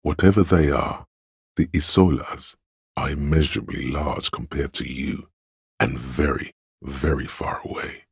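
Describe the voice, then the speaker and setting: An erudite inner voice from a video game, pitched extremely low. The sound is full of gravel and very husky rasp. He delivers facts in a deliberate, dryly pedantic way, as if addressing the player directly. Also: no echo, clean studio sound, heavily acted. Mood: measured, knowing. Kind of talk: measured game narration addressing the listener.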